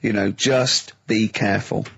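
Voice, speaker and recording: A man's voice: fairly low pitch, steady tone, measured pace, vocal fry, fairly bright tone, husky. A man chatting casually and jokily on a radio show. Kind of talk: casual comic radio chat. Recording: dull, lo-fi radio recording.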